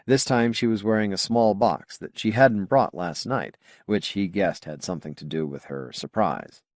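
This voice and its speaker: A man reading a science fiction novel as an audiobook narrator, with measured, clearly phrased delivery. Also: on the low side, a little mellow, dry recording, clean studio sound.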